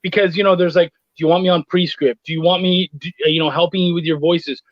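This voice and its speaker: Middle aged guy w a high voice chatting casually as a guest, dry but muffled stream audio. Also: steady tone, fast, no room sound. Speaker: guest, freelance editor and writer. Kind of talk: casual interview chat. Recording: dull, lo-fi livestream audio.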